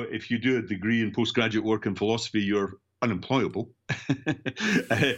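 Older Scottish male podcast guest, discursive conversational delivery, fairly low voice, somewhat dull recording. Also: fairly bright tone. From central Scotland. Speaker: podcast guest, education technology executive. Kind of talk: conversational interview answers, recounting career.

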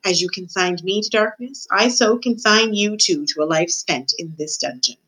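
A woman's voice: mid-range voice, moderate pace, sharp tone, slightly rough, rough recording. A woman voicing a scheming, cruel male rat from a storybook, with a commanding, formal and sneering manner. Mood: cold, menacing. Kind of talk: storybook character voice.

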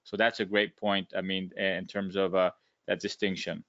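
A man's voice: low-pitched, flat delivery, moderate pace, fairly bright tone, no echo, highs cut off. A male physician hosting a medical podcast, speaking courteously and with frequent fillers as he thanks and questions his guest. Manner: courteous, uses many fillers. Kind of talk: podcast interview.

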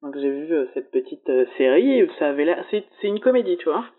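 A woman in casual conversation, telling things in a relaxed, wry way. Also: deep voice, a little fast, phone-quality sound. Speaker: podcast co-host.

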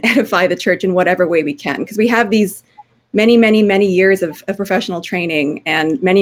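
A woman historian with a scratchy voice giving informal panel remarks, conversational and searching for her words. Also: mid-range voice.

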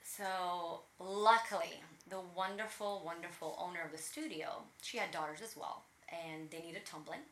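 A woman's voice: on the low side, normal speed, hardly any pauses, reverberant, poor audio. A woman from Latvia whose first language is Russian, telling her story as an interview guest with lots of energy and laughter. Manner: energetic, laughs often, animated. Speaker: guest, personal trainer.

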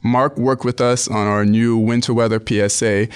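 A man's voice: mid-range voice, little pitch movement, moderate pace, clear, resonant tone. A man from Barbados co-hosting a podcast in a conversational style. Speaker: podcast co-host and press secretary.

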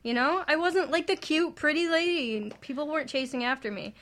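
A woman's voice: high voice, a little fast, fairly bright tone. A woman guest on a talk radio show, chatting frankly and laughing often. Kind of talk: candid talk radio chat.

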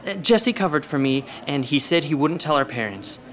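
A man's voice: on the high side, lively intonation, moderate pace, fairly bright tone. A young man acting in a radio drama as a lovesick, defensive teenage boy, speaking earnestly.

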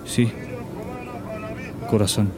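Timid, halting man over street noise. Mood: meek. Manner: halting, meek, unfinished sentences. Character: timid, desperate male customer, hesitant and easily cowed. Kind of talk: radio drama acting. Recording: clear outdoor street noise behind.

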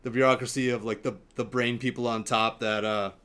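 A man talking casually and enthusiastically in a film discussion, in a halting, rambling way. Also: mid-range voice, little pitch movement, fairly bright tone, clean tone. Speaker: podcast panelist.

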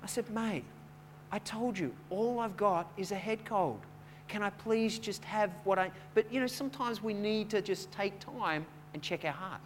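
A man preaching a sermon in a conversational, storytelling way, repeating words as he goes and building from quiet chat to louder preaching. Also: high voice, normal speed, slight background noise. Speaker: pastor.

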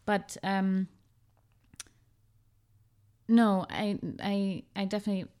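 A woman's voice: medium pitch, flat delivery, takes it slowly, darkish tone, clear, resonant tone. A woman from Germany, a podcast guest, talking reflectively with many hesitations and restarts. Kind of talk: casual conversation.

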